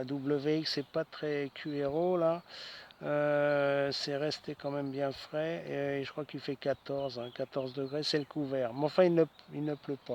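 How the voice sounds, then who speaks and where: A man chatting casually as an amateur radio operator outdoors in a wood, speaking in short, choppy phrases. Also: mid-range voice. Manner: choppy phrases with frequent tag words. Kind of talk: ham radio contact, casual chat. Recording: faint outdoor background noise.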